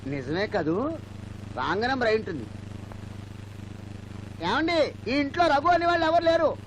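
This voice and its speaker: An actor playing a chatty, slow-witted simpleton in a film comedy, naive and earnest. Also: male voice, extremely high pitch, expressive, a little hiss or hum, rough recording. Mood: earnest, puzzled. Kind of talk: Telugu film comedy acting.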